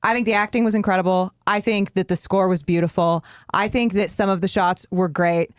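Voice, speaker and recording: A woman's voice: mid-range voice, fairly even intonation, brisk, smooth, dry recording, clean studio sound. A woman co-hosting a podcast, giving blunt, opinionated takes in casual conversation. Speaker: podcast co-host. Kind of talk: casual chat, reviewing a film.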